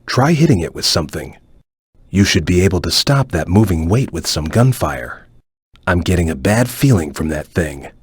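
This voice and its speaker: Low, husky, watchful game ninja. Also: male voice, big pitch swings, no room sound, clean studio sound, full character voice. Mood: watchful, serious. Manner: measured, formal, serious and advisory. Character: stern, watchful cartoon ninja guide, calm and cautious. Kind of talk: video game voice acting, short hint lines.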